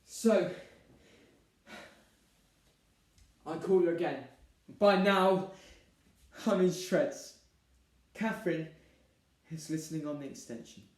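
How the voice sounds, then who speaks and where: A man's voice: on the high side, unhurried, long pauses, close to an everyday voice. A young man playing a harried, put-upon groom in a dramatic stage monologue, theatrical, emphatic and exasperated. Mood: worn down. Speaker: drama student performer. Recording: very echoey room, distant microphone.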